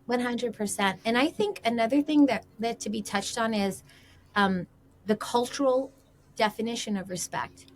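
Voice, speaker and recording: A woman's voice: a little high, moderate pace. A woman giving conversational relationship advice as a podcast guest, explaining through example questions and quotes.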